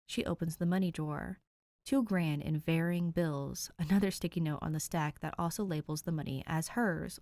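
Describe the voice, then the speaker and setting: Low-voiced woman reading a story. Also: dry recording. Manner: expressive, voices characters. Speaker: fanfic podfic reader. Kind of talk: audiobook narration.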